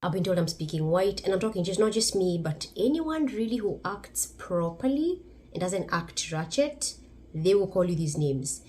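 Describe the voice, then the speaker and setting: A woman's voice: lowish voice, sing-song, normal speed, mellow. A woman from Kenya with a Kenyan English accent, giving blunt, emphatic opinion commentary as a vlogger. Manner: emphatic, blunt, laughs between points.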